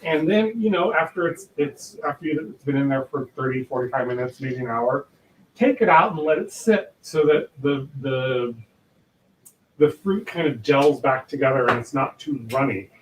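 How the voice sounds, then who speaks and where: A man's voice: mid-range voice, lively intonation, normal speed, a little hiss or hum, poor audio. A man hosting a casual live cooking demo, rambling with lots of fillers and restarts, in a home kitchen. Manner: says he has a loud voice. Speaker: cooking show host.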